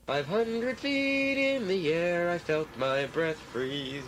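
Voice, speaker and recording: A man's voice: sits high, takes it slowly, a bit bright. A man reciting poetry, with some faint noise behind him. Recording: faint background noise, ambience.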